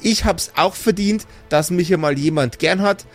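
A man's voice: high-pitched, moderate pace, soft background music, natural voice. A man voicing a scolding, exasperated mother of grown sons with a Bavarian-Austrian accent, nagging and sarcastic, in an improvised fantasy audio play. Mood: scolding, fed up. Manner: nagging, sarcastic, rhetorical questions.

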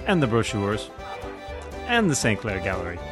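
A man's voice: lowish voice, wildly swooping pitch, moderate pace. Man acting a languid millionaire playboy over music. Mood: bored, droll. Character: languid, jaded millionaire playboy with a secret hero identity. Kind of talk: old-time radio drama acting.

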